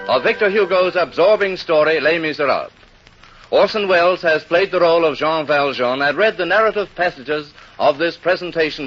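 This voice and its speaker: A man's voice: a little high, a bit bright. A man giving a formal, scripted network announcement in 1930s radio style. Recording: muffled old radio broadcast recording.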